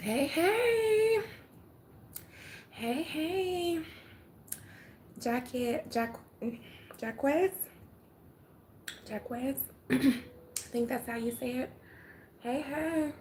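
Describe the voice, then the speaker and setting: A woman's voice: high voice, expressive, very slow, pauses often, a little mellow, slight background noise. An older woman from Atlanta with a Southern accent, chatting casually with viewers on a phone livestream, folksy and talkative, sometimes dropping to a murmur. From Atlanta, Georgia. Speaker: livestream host. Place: home room with sunlight.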